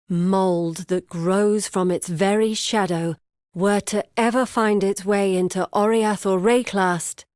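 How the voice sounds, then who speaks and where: Earnest bookish young woman, voiced by an actress for a video game, formal n measured, really dry sound. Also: medium pitch, darkish tone, no echo, lightly acted. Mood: uneasy, foreboding. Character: earnest scholarly young woman, determined daughter seeking her lost father. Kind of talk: scripted video game character dialogue.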